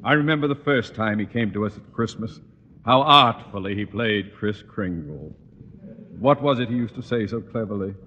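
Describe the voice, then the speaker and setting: A man's voice: fairly low pitch, moderate pace, pushed and bright, dull audio. An actor playing a pompous, irritable middle-aged father, blustery and wordy, in old radio comedy style. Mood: sentimental. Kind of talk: 1940s-50s radio comedy acting. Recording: old radio recording, reverberant, faint noise.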